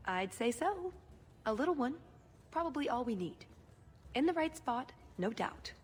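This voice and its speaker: Playful game huntress, knowing, higher voice, long echoing reverb. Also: female voice, very animated, a little slow. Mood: assured. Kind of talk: video game voice acting.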